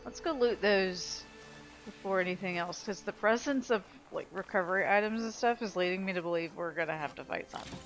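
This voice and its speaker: A woman's voice: medium pitch, brisk, soft background music, poor audio. A woman giving casual video game commentary, thinking aloud as she plays.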